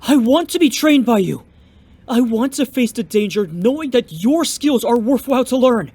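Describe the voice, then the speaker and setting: A man's voice: extremely high pitch, expressive, moderate pace, a little mellow, no room sound, exaggerated voice. A man playing an earnest, determined young martial arts student in an audio drama, with an emphatic way of speaking. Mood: pleading.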